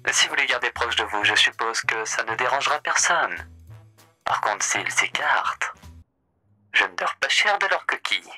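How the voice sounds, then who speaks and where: A man's voice: medium pitch, expressive, normal speed, sharp tone, breathy edge, faint music behind, poor audio. Theatrical, polished young man. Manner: theatrical and polished, with old-fashioned phrasing. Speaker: roleplay player voicing a hotel owner. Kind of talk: in-character roleplay chat.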